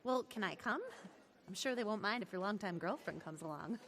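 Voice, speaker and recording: A woman's voice: mid-range voice, expressive, brisk, fairly bright tone. A woman playing a troubled, lonely and cynical teenage girl who tells her story plainly in an audio drama. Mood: anxious. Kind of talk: audio drama acting and first-person narration.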